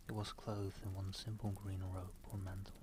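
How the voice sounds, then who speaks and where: Male ASMR host, low-pitched, soft and whispery, very even intonation, casual streamer and storyteller, noisy mic. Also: normal speed. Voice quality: soft, often breaking into whisper. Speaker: live-stream host and reader.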